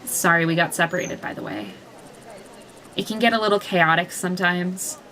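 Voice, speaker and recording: A woman voicing an excitable, gossipy teenage schoolgirl who fires off eager questions. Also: lowish voice, a crowd in the background, natural voice, low-quality recording. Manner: gushing, eager rapid questions.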